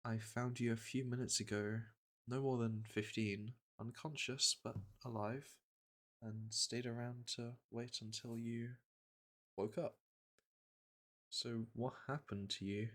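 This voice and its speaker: A man acts out a seasoned monster hunter in a fantasy audio roleplay. He speaks softly at a medium pitch with a crisp, bright edge, pausing as he thinks and reassuring the listener like a practiced caretaker. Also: fairly even intonation, close to an everyday voice. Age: middle-aged. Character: veteran monster hunter, gruff but caring male patroller. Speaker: roleplay voice actor. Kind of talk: soft-spoken ASMR fantasy roleplay.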